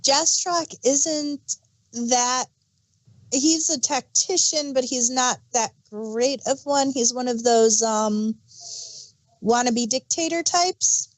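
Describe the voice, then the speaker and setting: A woman's voice: sits high, slow, dry recording, rough recording. A woman co-hosting a review podcast in a casual, conversational style. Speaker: podcast co-host reviewing audio dramas.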